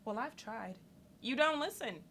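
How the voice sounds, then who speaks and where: A woman's voice: on the high side, animated, a little slow, a bit bright, a little hiss or hum. An actress playing a sarcastic, ambitious young woman scolding her partner in a theatrical monologue, cutting, articulate and wry. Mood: exasperated.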